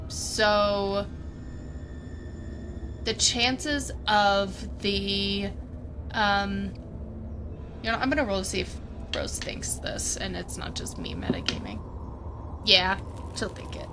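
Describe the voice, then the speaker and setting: A woman playing a tabletop game casually, thinking aloud with hesitant pauses. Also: mid-range voice, expressive. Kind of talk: casual livestreamed game play. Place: indoors at home. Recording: clear background noise.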